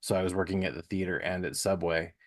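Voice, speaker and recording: A man's voice: low-pitched, fairly even intonation, quick pace. A middle-aged man hosting a podcast, talking in a casual, conversational interview style. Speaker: podcast host.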